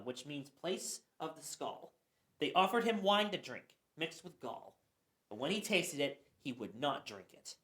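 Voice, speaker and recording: A man's voice: fairly high pitch, a bit bright. A man narrating scripture for a church radio play, reading earnestly and sometimes stumbling. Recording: echoey room.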